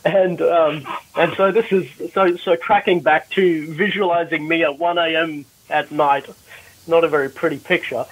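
A man's voice: on the high side, fairly even intonation, moderate pace, a little hiss or hum, poor audio. A male doctor explaining a health topic in a recorded panel discussion, with frequent restarts. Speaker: doctor presenter. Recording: replay of a Clubhouse audio room.

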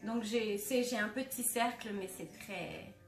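A woman's voice: mid-range voice, measured pace. A woman, a burlesque performer answering interview questions with assurance, laughing often and sometimes searching for words. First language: French. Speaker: burlesque performer and festival director. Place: small decorated studio room, indoors. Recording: roomy, distant, faint music.

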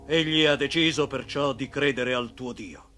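A man's voice: mid-range voice, moderate pace, sharp tone, soft background music, close to an everyday voice. A man playing a formal Egyptian royal messenger in a cartoon, speaking in a proclaiming manner. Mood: official. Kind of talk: dubbed cartoon acting.

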